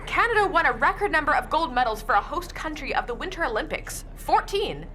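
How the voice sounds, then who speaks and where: A young, irreverent rookie tour guide in a radio play. The voice is high, lively and slangy, speaking bluntly over clear street and vehicle noise. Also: female voice, brisk, full character voice. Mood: pointedly informative. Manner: slangy, candid, blunt asides. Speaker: actor in a podcast radio play.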